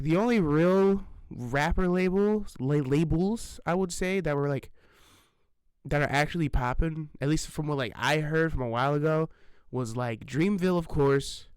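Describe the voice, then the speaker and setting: A man chatting loosely into an old desk microphone at home, his voice smooth and resonant. He rambles through fillers and restarts, curses offhandedly and chuckles at himself. The sound is close and dry, with no room around it. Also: on the high side, no room sound. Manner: rambling with many fillers and restarts, swears casually, laughs at himself. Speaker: reaction channel host. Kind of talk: casual rambling vlog chat. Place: home recording setup, sitting at desk. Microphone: old desk microphone, sitting close.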